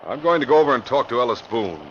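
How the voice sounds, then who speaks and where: A man plays an earnest, flustered police commissioner in a vintage radio mystery. His voice sits at an ordinary pitch and comes through dull and slightly hissy, like an old broadcast transcription. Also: lively intonation, fast talker, a bit bright, close to an everyday voice. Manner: earnest, dismayed. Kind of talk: 1940s radio acting. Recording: muffled old radio broadcast with faint hiss.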